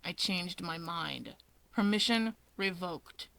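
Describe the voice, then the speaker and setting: Casting: grumpy young male villain read by a woman, lowered voice, clipped sarcastic delivery, storybook narration. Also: takes it slowly, gravelly, heavily acted. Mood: growling. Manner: flat, curt, irritated.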